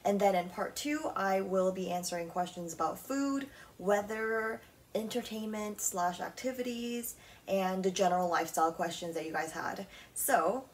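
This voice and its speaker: A woman's voice: medium pitch, normal speed, far from the mic. Chatty young woman, a little off-mic. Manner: chatty, frequent "like" and "um" fillers. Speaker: podcast host. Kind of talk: casual solo podcast chat.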